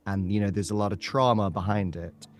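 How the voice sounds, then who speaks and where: A man's voice: lowish voice, a little fast, faint music behind. A man speaking casually and emphatically as a voice actor being interviewed.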